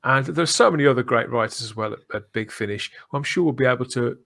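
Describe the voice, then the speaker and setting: A man's voice: medium pitch, fast talker, creaky, a bit bright. A man hosting a podcast in casual discussion, talking enthusiastically and discursively. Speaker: podcast host.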